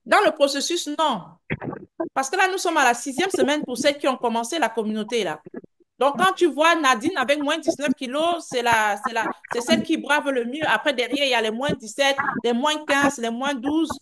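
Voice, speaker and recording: A woman's voice: high voice, normal speed, a bit bright. A woman coach answering questions on a group video call, often repeating words and restarting her sentences as she reassures. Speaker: health and weight-loss coach.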